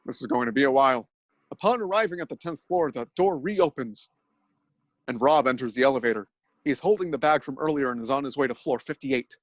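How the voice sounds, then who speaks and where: A man narrating an absurd story in a mock-dramatic, deadpan style. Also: medium pitch, fairly quick, no echo, lo-fi sound.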